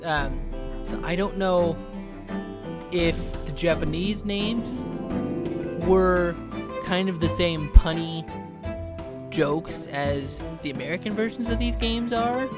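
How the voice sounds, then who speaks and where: A woman's voice: fairly low pitch, slow, pauses often. A young woman streamer giving chatty, rambling commentary while playing a game. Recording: loud game music behind.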